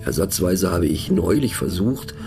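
A man narrating a satirical radio play as a pensive, mocking monologue, musing conversationally with halting, emphatic phrasing. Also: low voice, animated, music in the background. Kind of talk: scripted monologue performed as musing conversational talk.

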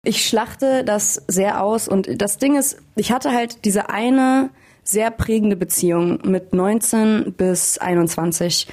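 A woman's voice: mid-range voice, darkish tone. A young woman from Hamburg, a singer and guest, talking candidly in a casual interview and laughing at herself. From Hamburg, Germany.

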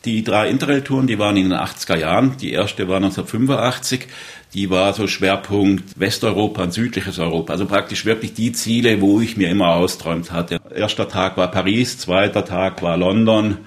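Low-voiced middle-aged man from southern Germany. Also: a little fast. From southern Baden, Germany. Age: 50s. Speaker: interviewee recalling rail travels. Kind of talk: conversational storytelling.

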